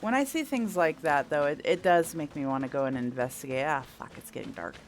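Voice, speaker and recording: A woman's voice: low-pitched, brisk, slight background noise. A woman doing casual playthrough commentary, thinking aloud and asking herself questions as she goes. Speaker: gameplay host.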